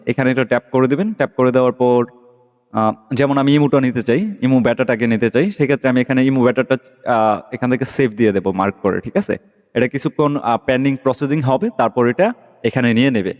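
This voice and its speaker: A man's voice: medium pitch, a little mellow. Man giving a tutorial in a reverberant room. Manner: repeatedly checks in with listeners while explaining step by step. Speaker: tech tutorial host. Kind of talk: casual screen-recorded app walkthrough. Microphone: phone microphone during screen recording. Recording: long echoing reverb.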